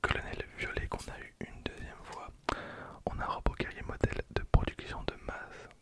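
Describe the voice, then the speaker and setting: A person in their twenties whispering casual ASMR commentary close to the microphone, with a breathy, unvoiced delivery. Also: normal speed. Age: 20s. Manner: hushed, casual asides. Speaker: ASMR video creator. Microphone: close handheld-recorder microphone.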